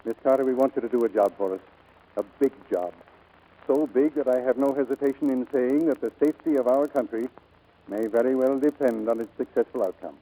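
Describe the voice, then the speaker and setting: Actor as grave navy admiral, muffled old radio. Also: male voice, mid-range voice, mellow, natural voice. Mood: grave, formal. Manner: formal, deliberate, authoritative. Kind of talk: 1940s radio drama acting. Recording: muffled vintage 1940s radio recording.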